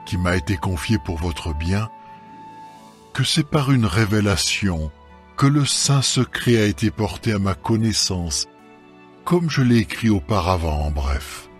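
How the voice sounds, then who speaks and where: Slow, low-voiced man reading scripture. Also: animated. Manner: measured, deliberate phrasing. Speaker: scripture reader. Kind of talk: solemn scripted Bible reading, dramatised audio. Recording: music under the voice. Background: background music.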